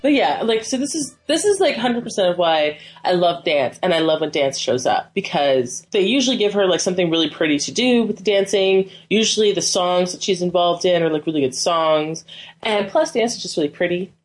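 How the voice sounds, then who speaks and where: A woman's voice: lowish voice, expressive, fairly quick. A woman co-hosting a casual podcast who talks enthusiastically, gushing and filling her sentences with "like". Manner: enthusiastic, gushing, many "like" fillers. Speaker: podcast co-host. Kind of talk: casual chat.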